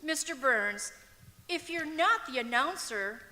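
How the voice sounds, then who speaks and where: A woman's voice: sits high, lively intonation, takes it slowly, pushed and bright, full character voice. A woman acting as a sweet, naive, admiring young woman in an old-time radio comedy. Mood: naively admiring. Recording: echoey auditorium stage recording.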